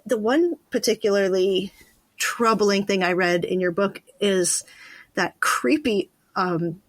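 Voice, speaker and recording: A woman's voice: medium pitch, takes it slowly, dry recording, low-quality recording. A woman hosting a podcast, talking conversationally with her interview guest. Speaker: podcast host.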